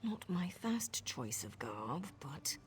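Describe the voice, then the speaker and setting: A woman playing a sardonic assassin disguised as a servant in a video game, with a sarcastic, conspiratorial manner and a husky, breathy voice. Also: low voice, sing-song, slow, a little hiss or hum, exaggerated voice. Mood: hushed, secretive. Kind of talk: video game character acting.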